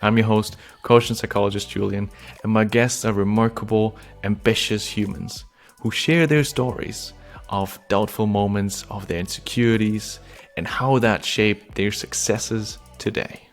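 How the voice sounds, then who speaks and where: Male podcast host, very low voice, gravelly, gentle and thoughtful, young adult. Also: fairly even intonation, unhurried, soft background music. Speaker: podcast host, coach and psychologist. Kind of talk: casual podcast conversation.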